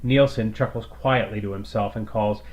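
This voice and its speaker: A man's voice: on the low side, fairly even intonation, moderate pace, dull audio. Man narrating a scripted story.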